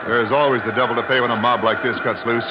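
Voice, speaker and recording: An actor playing a confident American gentleman adventurer with an American accent and matter-of-fact manner in a 1950s radio drama. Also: mid-range voice, steady tone, quick pace, close to an everyday voice. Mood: concerned, steady. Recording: noisy muffled old radio recording.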